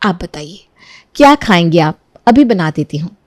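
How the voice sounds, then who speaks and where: A woman's voice: fairly low pitch, very animated, dry recording. A woman as a firm young daughter-in-law, dry sound. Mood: calm, polite. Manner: firm, measured, polite but defiant. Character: wronged young daughter-in-law, firm, restrained, standing her ground.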